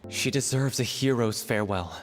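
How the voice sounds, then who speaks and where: Grieving, indignant young man played by an actor, mid-pitched, game drama, long reverb. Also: normal speed, soft background music, natural voice. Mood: subdued grief. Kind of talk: video game voice acting.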